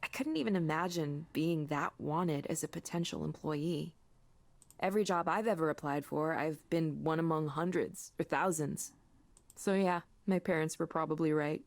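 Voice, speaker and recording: A woman's voice: deep voice, normal speed, no echo. A woman playing a curious, self-deprecating young woman in a visual novel, in a natural conversational way. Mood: wistful, self-deprecating. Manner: conversational, animated, self-effacing. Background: game music.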